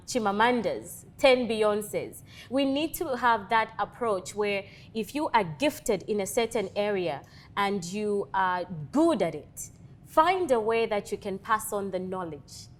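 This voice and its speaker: A woman in her thirties from Zambia, speaking, talks as an interview guest and leadership coach with earnest, motivational conviction. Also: mid-range voice. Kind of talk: interview conversation.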